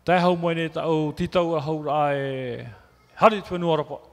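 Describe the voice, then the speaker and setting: A man giving a church sermon in short phrases, pausing for an interpreter after each one. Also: a little high, long reverb tail. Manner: speaks in short phrases, trading lines with an interpreter. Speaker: preacher. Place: church hall.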